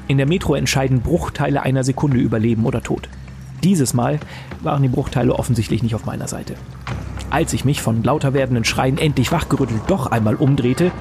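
A man's voice: mid-range voice, lightly acted. A man playing a weary, bleak mercenary survivor, narrating an audio drama in a brooding, literary way. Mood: grim recounting. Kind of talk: scripted first-person dramatic narration. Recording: voice over game music. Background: guitar music and game sound effects.

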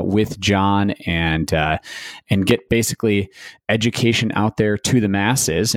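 A friendly man from the United States answers questions on a podcast, upbeat and full of small fillers. His voice sits low. It sounds dry and close, with no room around it. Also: dry recording. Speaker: podcast guest, ad agency owner. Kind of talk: conversational interview answers.

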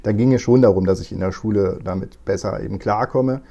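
A man in his forties from northern Germany, talking as an interview guest in a relaxed, thoughtful way with many fillers. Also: on the low side, fairly quick, slightly rough, lo-fi sound. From East Frisia, northern Germany. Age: 40s. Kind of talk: conversational interview.

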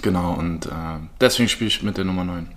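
Late-20s guy from berlin, lowish voice, lots of ums, chill podcast guest. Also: quick pace, fairly bright tone. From Berlin, Germany. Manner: hesitant, many fillers. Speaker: guest, handball player. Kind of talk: casual interview answers.

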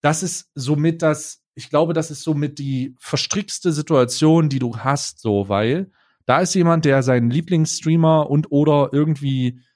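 A man co-hosting a podcast in casual chat, laid-back and halting, with many fillers and restarts. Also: fairly high pitch, a little mellow. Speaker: podcast co-host.

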